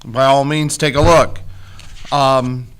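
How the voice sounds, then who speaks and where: A man whose voice is starting to go hoarse gives informal school announcements, rallying listeners with check-in questions. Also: medium pitch, steady tone, slow pace. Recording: clearly noisy background.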